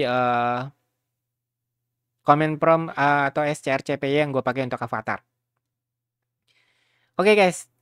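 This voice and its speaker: A man's voice: medium pitch, smooth, no room sound, clean studio sound. A man casually walks viewers through a tutorial, chatting informally and often checking in with them. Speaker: YouTuber giving a software tutorial. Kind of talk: casual screen tutorial with streamer slang.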